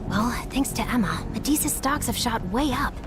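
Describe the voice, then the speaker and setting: A woman's voice: fairly high pitch, lively intonation, moderate pace, music in the background, lightly acted. A woman playing a cheeky, clever teenage girl in a video game dub, wry and informal. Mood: wry, skeptical.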